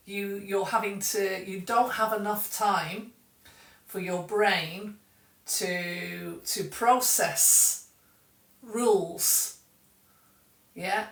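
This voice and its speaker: A woman from England with a softened Mancunian accent, teaching English in a live stream and chatting with viewers, often restarting her sentences. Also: medium pitch, echoey room, distant mic.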